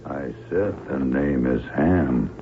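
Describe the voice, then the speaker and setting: Very deep, gravelly henchman. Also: takes it slowly, heavily acted. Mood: surly, terse. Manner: terse, surly. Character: gruff, sullen muscle-bound henchman. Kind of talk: old-time radio drama acting. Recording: muffled, noisy old radio recording.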